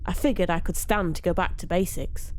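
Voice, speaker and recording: A woman reading a first-person story aloud in an expressive, theatrical storytelling manner. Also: fairly low pitch, brisk, slight background noise. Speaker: story narrator.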